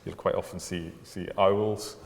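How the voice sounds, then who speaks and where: Middle-aged male, Irish with a Scottish tinge, low register, relaxed interviewee delivery, reverberant recording. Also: measured pace, creaky. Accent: Irish with some Scottish. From Ireland. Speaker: distillery manager being interviewed. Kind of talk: casual interview. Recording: long echoing reverb, faint background noise.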